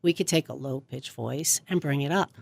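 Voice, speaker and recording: A woman's voice: a bass voice, moderate pace, vocal fry, darkish tone, dry recording. A middle-aged woman, a speech coach, explaining voice habits on a radio show in a studio. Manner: demonstrates voice habits with her own voice.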